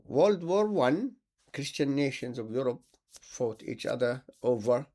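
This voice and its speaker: A man's voice: mid-range voice, sing-song, a little slow, mellow. A man from Palestine, an Islamic preacher delivering an impassioned, sarcastic monologue that repeats words for emphasis.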